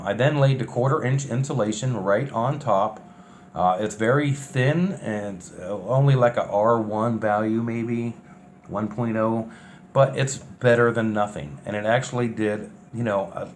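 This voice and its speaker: Man, fairly low voice, colloquial, unscripted DIY vlog narration, light background noise. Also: moderate pace. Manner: folksy, colloquial, unscripted explaining. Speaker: vlogger recapping a DIY bus conversion. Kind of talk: casual narration over build footage. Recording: clear steady background noise, ambience.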